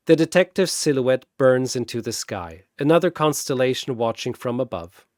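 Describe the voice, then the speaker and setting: A man's voice: medium pitch, no room sound, studio quality. A man narrating a scripted battle story and announcing its fighters in a dramatic style.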